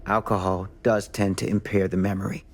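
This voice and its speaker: An actor playing a calm, dogged American small-town lawman in a video game, speaking in a measured, dryly skeptical way. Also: sits low. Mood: dryly probing. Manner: measured, dry, probing. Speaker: investigating lawman. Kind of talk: cinematic video game acting.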